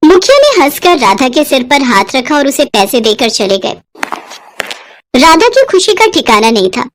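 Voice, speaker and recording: A woman narrating a scripted moral bedtime story as a storyteller. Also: high-pitched.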